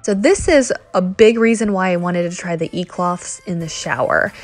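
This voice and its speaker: A woman's voice: lowish voice, normal speed, faint music behind. A woman in her thirties chatting through a home cleaning vlog voice-over, talking straight to her viewers. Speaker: cleaning vlogger. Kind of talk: casual voice-over narration.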